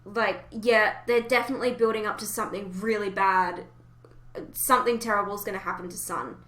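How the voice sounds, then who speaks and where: A woman's voice: medium pitch, fairly even intonation, brisk, sharp tone. A woman chatting casually about fan theories, speaking in an opinionated, emphatic way. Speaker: podcast co-host. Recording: roomy, echoey voice recording.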